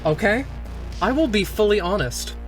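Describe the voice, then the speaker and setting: Male tabletop player, slightly high voice, unhurried and descriptive, music underneath. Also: lively intonation, rough recording. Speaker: roleplaying game player. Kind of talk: tabletop play, narrating own character's actions. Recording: music playing behind. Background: background music.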